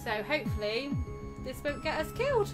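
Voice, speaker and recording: Animated, higher-voiced woman gaming host. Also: fairly quick. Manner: sweary, playful. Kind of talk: casual let's play commentary with read-aloud narration. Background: loud game music.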